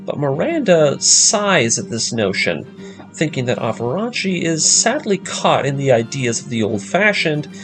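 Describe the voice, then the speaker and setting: A man narrating a scripted story breakdown as an enthusiastic fan host, with expressive, emphatic delivery. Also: a little high. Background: background music.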